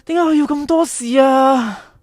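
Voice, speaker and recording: A woman plays a lovesick teenage schoolboy in an audio drama, high-voiced and close-recorded in a dry space. He is shy and awkward, with a Hong Kong Cantonese accent and a hesitant way of speaking. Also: moderate pace, darkish tone, no room sound, natural voice. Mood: hurt, accusing. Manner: stammering, hesitant when flustered.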